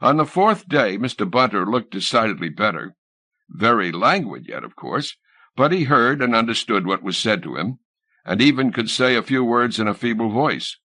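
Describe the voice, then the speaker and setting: A man's voice: mid-range voice, big pitch swings, moderate pace, sharp tone, no echo. A man reading literary fiction aloud as a scripted audiobook narrator, recorded close to the microphone. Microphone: close studio microphone, dry sound.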